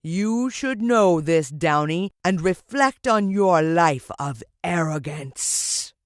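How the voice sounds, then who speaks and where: A woman voicing a gloating, vengeful older male villain from a children's storybook, declaiming slowly and theatrically with menace. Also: fairly low pitch, expressive, dry recording, clean studio sound. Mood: menacing.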